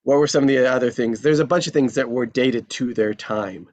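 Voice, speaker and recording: A man's voice: mid-range voice, fairly quick, darkish tone, lo-fi sound. A man in a casual discussion after a table read, thinking aloud with many fillers and restarts.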